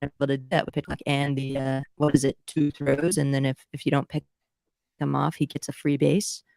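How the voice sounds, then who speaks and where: A woman's voice: very deep voice, little pitch movement, brisk, creaky, dark tone, no room sound. A woman from the southern United States co-hosting a baseball talk show, chatting casually. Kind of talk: casual chat.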